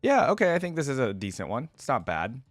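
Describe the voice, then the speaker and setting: A man's voice: mid-range voice, wildly swooping pitch, quick pace, no echo. A man giving casual, informal, lightly amused commentary as host of a reaction video.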